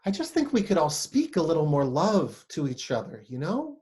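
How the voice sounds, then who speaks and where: A man's voice: fairly high pitch, wildly swooping pitch, normal speed, darkish tone. A man in a play reading over video call, playing a shy, earnest young poetry lover, hesitant and apologetic.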